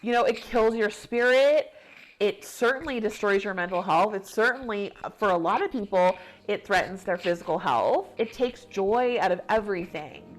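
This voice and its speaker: A woman's voice: medium pitch, normal speed, low-quality recording. A woman in her thirties, a guest author and activist, speaking earnestly in a reflective podcast interview with frequent fillers. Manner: earnest, animated when excited, many fillers.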